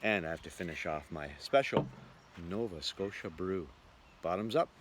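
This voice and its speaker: A man's voice: deep voice, animated, moderate pace. A man talking casually to camera as a travel vlogger, recorded outdoors. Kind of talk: casual vlog narration to camera. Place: outdoors on a camping tour. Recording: noisy outdoor ambience.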